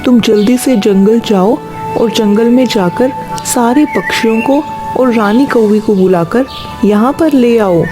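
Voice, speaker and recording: A woman's voice: on the high side, measured pace, over music, lightly acted. A woman voicing an adult male crow, a caring family father, in a Hindi cartoon story with plain, exclaiming delivery. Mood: pained, pleading.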